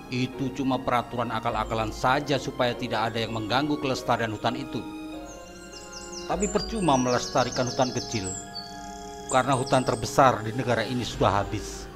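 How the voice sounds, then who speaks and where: A man voicing a greedy, arrogant village head in a horror cartoon, speaking in a low, bossy, scornful way. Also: a little fast, over music, close to an everyday voice. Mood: scheming.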